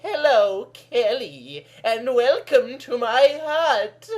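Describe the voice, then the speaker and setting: A man's voice: very high voice, animated, drawn-out words, pitched above the performer's own voice, exaggerated voice. A man voicing a small, mysterious old seer woman in a storybook tale, speaking in portentous pronouncements.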